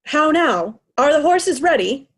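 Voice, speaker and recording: Aging monarch, proud and hurt, higher-voiced, classical stage verse played by a woman. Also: sing-song, unhurried, fairly bright tone, full character voice. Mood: impatient. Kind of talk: Shakespearean reader's theatre acting.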